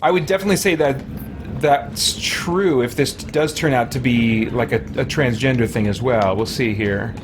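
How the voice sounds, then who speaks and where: A man's voice: medium pitch, moderate pace, background noise. A man giving casual, rambling let's play commentary as a gaming streamer, musing aloud as he goes. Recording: game sounds behind the voice. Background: video game sound.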